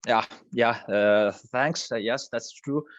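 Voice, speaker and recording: A man whose first language is Italian, a project co-founder speaking in an online interview, pausing often with fillers and restarts. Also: mid-range voice, muffled recording, a little hiss or hum. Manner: hesitant, many fillers and restarts. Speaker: crypto project co-founder guest.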